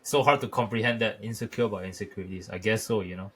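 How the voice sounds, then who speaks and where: A man with a Malaysian English accent speaks slowly and hesitantly, leaning on "you know". His voice is on the low side. A faint background hum sits under him. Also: fairly bright tone. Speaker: podcast co-host. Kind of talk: casual chat.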